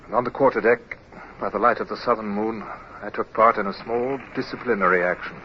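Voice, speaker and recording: A low-pitched male naval lieutenant played by a radio actor, crisp and authoritative, measured when telling his tale. The sound is a dull vintage radio recording with faint hiss. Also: fairly even intonation, lightly acted. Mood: reflective. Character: brisk commanding young male naval lieutenant, reflective when narrating. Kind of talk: 1950s radio drama acting and narration.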